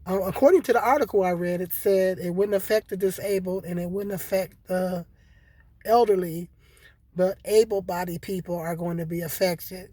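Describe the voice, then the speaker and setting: A hoarse woman with a cold, talking casually and rambling to her viewers with many repeats and fillers. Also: on the low side, fairly even intonation, normal speed, dark tone, dry recording. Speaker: vlogger. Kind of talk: casual solo commentary.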